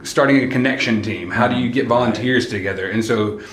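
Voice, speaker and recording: A man's voice: mid-range voice, brisk, a bit bright, reverberant, a little hiss or hum, poor audio. A pastor from Alabama reflecting on his studies in a casual, searching way, standing in a church atrium. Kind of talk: casual group testimonial.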